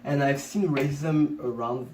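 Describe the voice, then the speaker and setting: A man from Congo talking loosely in a casual chat, circling back and restarting his sentences. His voice sits at an ordinary pitch and sounds set back from the microphone. The room around him rings noticeably, with a faint hiss underneath. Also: measured pace, a little mellow. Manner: rambling, many restarts and fillers. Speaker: podcast co-host. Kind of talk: casual conversation. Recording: roomy, distant mic, faint noise.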